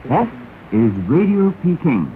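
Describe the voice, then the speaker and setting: A man's voice: fairly high pitch, very animated, measured pace. A man announcing on a 1960s shortwave propaganda broadcast, reading solemnly and with declamatory emphasis. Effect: shortwave radio. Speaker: radio announcer. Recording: narrow, noisy 1960s shortwave radio recording.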